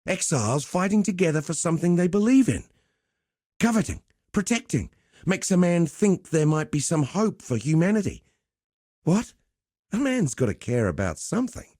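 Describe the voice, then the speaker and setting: Mellow, roguish arena master. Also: male voice, on the high side, very animated, normal speed, no room sound, clean studio sound. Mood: rousing, wry. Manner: wry, teasing, conversational swagger. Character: roguish male gladiator arena master, cocky, teasing, easygoing. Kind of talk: video game character dialogue.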